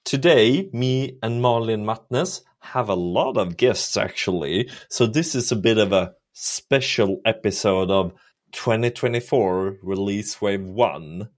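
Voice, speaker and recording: A man's voice: lowish voice, takes it slowly. A man with a Swedish accent hosting a podcast, easygoing and agreeable in casual chat. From Sweden. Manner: easygoing, agreeable, many yeahs. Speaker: podcast host.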